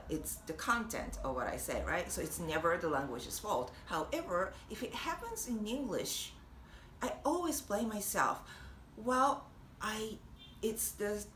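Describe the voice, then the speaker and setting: A young woman with a Japanese accent, chatting warmly and encouragingly to camera, laughing often. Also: lowish voice. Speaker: vlogger and language teacher. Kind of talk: casual encouraging talk to camera. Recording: echoey room with faint noise.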